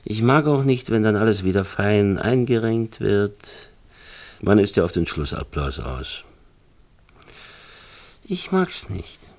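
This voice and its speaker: A very low, gravelly male voice as a brooding film artist in a radio play. He muses haltingly, with a tender streak under the gloom. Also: sing-song, a little mellow, full character voice. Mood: uneasy, brooding. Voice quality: deep, creaky. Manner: hesitant, musing, halting. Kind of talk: German radio drama acting.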